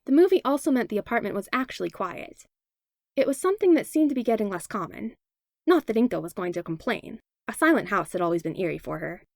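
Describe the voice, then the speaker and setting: A woman reading fan fiction aloud as a podfic narrator, with expressive, animated storytelling. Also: medium pitch, fast talker, vocal fry, no echo, studio quality. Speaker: podfic reader.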